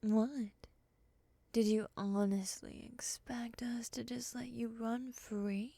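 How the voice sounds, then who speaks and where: Lady doing a bossy possessive moth monster woman, asmr roleplay, slow breathy near whisper w some fry, calm n scolding. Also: mid-range voice, no room sound. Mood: coolly menacing. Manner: measured, scolding, coolly teasing.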